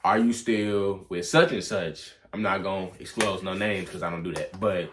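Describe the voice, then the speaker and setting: A man's voice: low voice, steady tone, normal speed, fairly bright tone. A young adult man telling a personal story in a casual, rambling, slangy way. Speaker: vlog storyteller.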